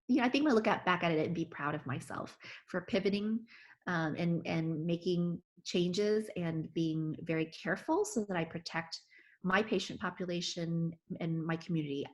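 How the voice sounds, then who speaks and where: A woman's voice: fairly low pitch, moderate pace. A woman physician giving an oral history interview, talking earnestly with many restarts and fillers. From United States.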